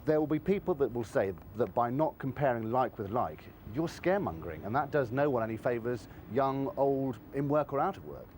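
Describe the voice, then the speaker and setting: Guy doing a tv news report voiceover, medium pitch, bit of background noise. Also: lively intonation, a little fast, hardly any pauses, darkish tone. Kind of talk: scripted news report narration.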